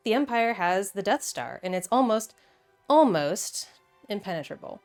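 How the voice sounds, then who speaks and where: A woman's voice: fairly low pitch, smooth. A woman hosting a video essay in a casual, conversational and enthusiastic way, with wry asides.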